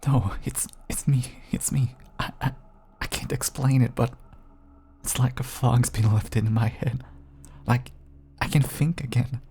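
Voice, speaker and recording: A man playing a gentle, apologetic, seemingly cured vampire husband in an audio roleplay, pleading tenderly in a soft, breathy, stammering voice. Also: mid-range voice, steady tone, measured pace, whispered, natural voice. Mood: dazed, apologetic. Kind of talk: intimate audio roleplay acting.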